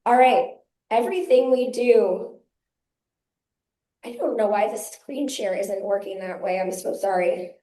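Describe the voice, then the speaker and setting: A hoarse woman with laryngitis opens a member meeting as chair and founder, giving brisk, practical announcements to a live audience. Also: medium pitch, mellow. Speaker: chair and founder of a local activist group. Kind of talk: opening remarks at a member meeting. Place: community meeting room with an audience. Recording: echoey meeting room.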